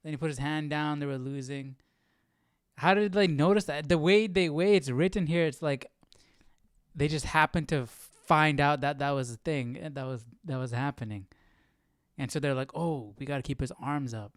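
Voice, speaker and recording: A man with a fairly high, clean voice chats his way through a study session. He questions and puzzles aloud in an informal way, in a dry, close recording. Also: moderate pace, dry recording. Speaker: host of a live Bible study. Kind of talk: casual reaction and study commentary.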